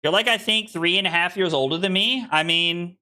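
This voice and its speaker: Bright-voiced streamer in his late twenties. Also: high voice, brisk. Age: 20s. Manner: rambling and self-deprecating, frequent restarts. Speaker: card game streamer. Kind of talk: casual live-stream chat with viewers.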